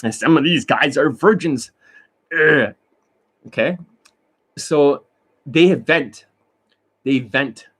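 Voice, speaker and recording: A man lecturing as a dating coach in a blunt, profane rant, swinging between loud outbursts and low muttering. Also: slow pace, long pauses, slightly rough. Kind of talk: ranting monologue lecture.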